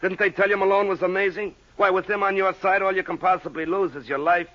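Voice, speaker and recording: A man's voice: sits high, steady tone, quick pace, heavy background noise, lightly acted. An actor playing a smug, sarcastic male police lieutenant who needles and taunts, in a 1950s radio crime drama. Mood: taunting. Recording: muffled old-time radio recording.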